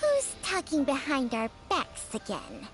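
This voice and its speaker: High-voiced sly snake companion. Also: female voice, huge pitch swings, unhurried, soft background music, exaggerated voice. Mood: teasing. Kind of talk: scripted video game acting.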